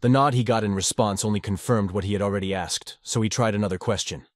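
Brief: male podfic narrator, low voice, steady measured read, dry close sound. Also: no room sound. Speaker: audiobook narrator. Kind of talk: fanfiction audiobook narration.

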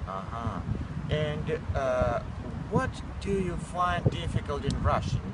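A young man hosts an interview, asking polite, simple questions with small pauses. He sits outdoors in a city park, a little away from the phone mic, with loud traffic and wind in the background. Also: on the high side, animated, far from the mic. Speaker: host. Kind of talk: casual interview.

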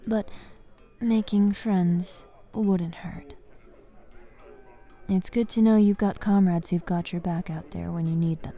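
A woman playing a bossy but caring young fighters guild master in an ASMR fantasy roleplay, soft-spoken and commanding. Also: lowish voice, steady tone, soft background music. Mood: guarded. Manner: soft-spoken, commanding, frequent sighs and pauses.

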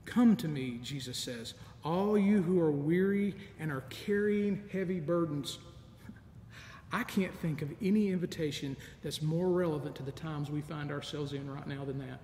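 A middle-aged man preaching a sermon as a deacon, measured and earnest, with soft laughs. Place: large empty church sanctuary. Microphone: stand mic in front of lectern, camera at a distance. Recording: echoey church with long reverb, faint rumble.

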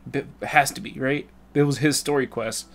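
A man's voice: mid-range voice, fairly even intonation, moderate pace. A man doing casual, joking gameplay commentary with plenty of slang and swearing, as a streamer. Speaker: gaming streamer.

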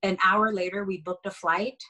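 A woman's voice: mid-range voice, steady tone, moderate pace, sharp tone. A young woman from Haiti, a stage actor, chatting as a guest over a video call from a bedroom, with frequent fillers and laughs. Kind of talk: casual video-call interview. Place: bedroom indoors.

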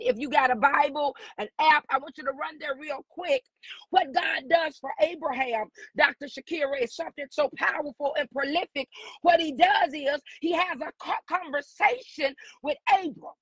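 A woman's voice: sits high, normal speed, fairly bright tone, no echo, poor audio. A woman preaching as a guest prophetess on a live stream, fervent and repetitive, building declarations. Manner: fervent, repeats lines, rising declarations. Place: online social media live stream.

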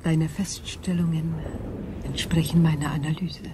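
An actress playing an ancient, wise crystalline alien in a science-fiction radio drama, measured, formal and detached. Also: female voice, low-pitched, little pitch movement, background noise. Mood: wistful, solemn. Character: ancient crystalline alien being, female-voiced, calm and wise.

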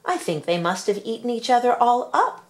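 Emphatic, practical elderly storybook wife, read by a woman, pitched up, lively swoops. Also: normal speed. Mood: wondering. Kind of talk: storybook character voice.

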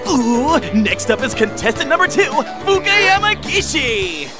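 A goofy, cocky teenage boy from an anime game. His high voice swings wildly as he teases in showy slang, with music playing behind. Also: big pitch swings, unhurried, exaggerated voice. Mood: teasing, showy. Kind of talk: comic anime game dub.

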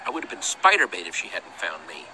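A man's voice: low voice, huge pitch swings, quick pace, pushed and bright, slight background noise, exaggerated voice, low-quality recording. A man voicing an eager, good-natured young male hero in a video game, earnest, boyish and jokey. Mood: eager, upbeat. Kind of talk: animated game dialogue.

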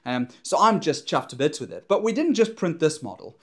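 A man's voice: on the high side, fairly quick, husky. Rambling, enthusiastic young man. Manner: rambling, many fillers and restarts, animated hand gestures. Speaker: maker and drone surveyor vlogger. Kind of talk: casual enthusiastic talk to camera. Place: indoor home studio in front of a backdrop. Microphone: clip-on lapel mic.

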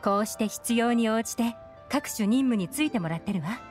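A woman plays a young female spymaster in a game, cool and composed. Her voice sits fairly high, and she gives orders with calm self-assurance over background music. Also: fairly quick, close to an everyday voice. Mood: knowing, assured.

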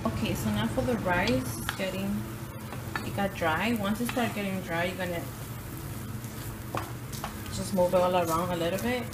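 A woman giving a casual home cooking tutorial, talking through each step in plain, halting instructions. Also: mid-range voice. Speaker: home cook. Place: home kitchen. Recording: distant phone audio with loud kitchen noise.

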